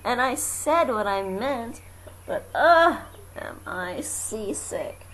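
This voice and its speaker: Storybook elephant, read by a woman: faithful, earnest, slow delivery, lightly noisy home recording. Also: extremely high pitch, expressive, pushed and bright, full character voice. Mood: steadfast, weary. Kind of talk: children's verse storybook read aloud.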